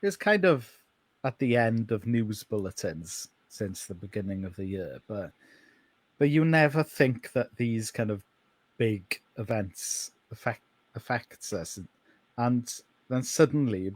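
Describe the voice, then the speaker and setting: North Welsh pastor, thirties, Welsh native speaker; unhurried, hesitant delivery, dry close sound. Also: male voice, fairly low pitch, lively intonation, no room sound. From Wales. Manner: unhurried, hesitant with many ums. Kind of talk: podcast interview.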